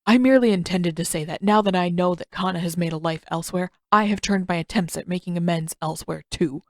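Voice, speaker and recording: A woman voicing a stern, proud old male master in a story reading, speaking in a formal, measured, condescending way. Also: lowish voice, a little mellow, dry recording, clean studio sound, close to an everyday voice. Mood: stern, dignified. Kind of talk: audiobook-style fan fiction character reading.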